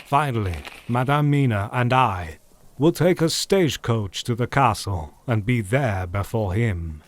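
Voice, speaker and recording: A man's voice: medium pitch, lively intonation, slight background noise, natural voice. A man playing a stern old vampire-hunting doctor. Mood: resolute. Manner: measured, formal strategist. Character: wise elderly male vampire-hunting doctor, commanding. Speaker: party leader planning the hunt. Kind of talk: audio drama acting.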